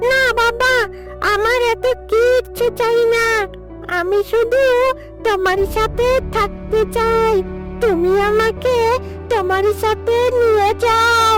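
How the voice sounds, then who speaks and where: A woman plays a little cartoon ogre boy with an extremely high, childlike voice. He sounds innocent and pleading, with music underneath. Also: steady tone, slow pace. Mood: pleading, curious. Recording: music behind the voice.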